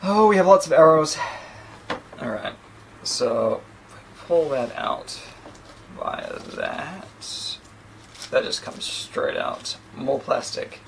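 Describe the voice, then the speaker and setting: A man's voice: mid-range voice, wildly swooping pitch, slow pace, vocal fry, long pauses, a little hiss or hum, poor audio. A man in his thirties with a New Zealand accent, chatting casually and rambling a little as he unboxes a gadget, recorded by a camera mic a few feet away in a small room. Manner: chatty, rambling, self-deprecating asides. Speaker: tech reviewer. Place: small indoor room against a plain sheet backdrop.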